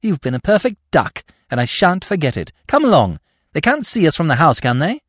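A lively young woman, eager and impulsive, with a voice that swings high, as a male narrator puts her on. She talks in quick breathless bursts. The sound is close and dry. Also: no echo. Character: eager young woman, spirited, then shaken.

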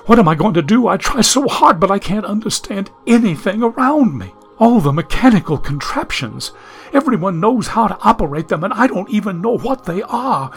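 A man reading an audiobook, voicing a genteel young woman from the 1870s who is anxious, prim and lost in the modern world. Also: sits high. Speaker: audiobook narrator.

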